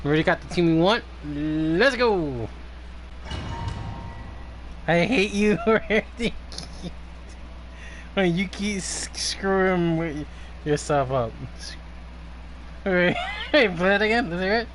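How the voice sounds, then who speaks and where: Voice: medium pitch, slow pace, long pauses, a little hiss or hum. A game streamer chatting casually, in a teasing, sweary way. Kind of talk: casual live gaming chat.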